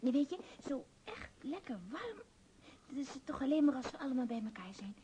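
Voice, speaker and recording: An actress playing a clingy, dreamy young child in a radio play, pleading insistently in a breathy half-whisper. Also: female voice, high voice, sing-song, fairly quick, dark tone. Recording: muffled old radio recording, hiss.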